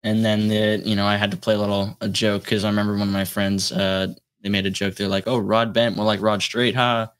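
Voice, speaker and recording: Young man, lowish voice, rambling casually. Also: level tone, fairly quick, few pauses, a bit bright, clean tone. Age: young adult. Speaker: guest, solo electronic musician. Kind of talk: casual interview chat.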